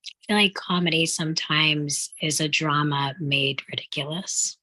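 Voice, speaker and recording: Low, bright-voiced woman chatting casually. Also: steady tone, unhurried, no echo. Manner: informal, uses "like" often. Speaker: panel participant. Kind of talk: casual discussion.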